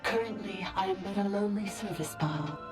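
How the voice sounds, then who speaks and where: An ancient female ruler from a video game acted by a woman, solemn and full of regret. She speaks in a medium-pitched, deliberate, formal voice with music clearly playing behind her. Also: natural voice. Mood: solemn confession. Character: ancient female dragon sovereign in a service bot, solemn and regretful. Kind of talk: dramatic video game voice acting.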